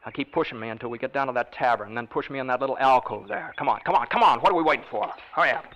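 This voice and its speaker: Actor as intense, desperate war veteran, sharp-voiced. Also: male voice, mid-range voice, rapid-fire, natural voice, rough recording. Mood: bossy, urgent. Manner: urgent, bossy, intense. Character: desperate, vengeful wounded war veteran, a man in a wheelchair. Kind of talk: old-time radio drama acting with narration. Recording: vintage radio recording.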